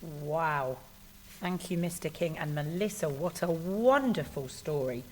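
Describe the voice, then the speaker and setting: Encouraging, lowish-voiced woman teacher. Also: unhurried, low-quality recording. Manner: effusive, praising, gently prompting. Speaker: teacher interviewing a young pupil. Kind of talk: encouraging interview with a child.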